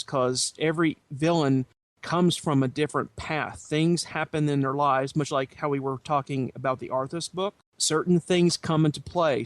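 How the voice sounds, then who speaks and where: Measured man, dry close recording. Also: on the high side, dry recording. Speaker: podcast panelist. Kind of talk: casual discussion.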